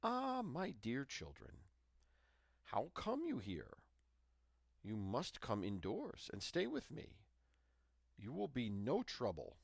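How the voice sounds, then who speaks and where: A cunning old witch from a fairy tale, acted out by a man. The voice is gravelly and leaps up and down as it scolds and gives greedy, spiteful orders. It sounds close and dry. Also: mid-range voice, wildly swooping pitch, slow pace, pauses often, no echo, exaggerated voice. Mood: coaxing. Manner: scolding, commanding.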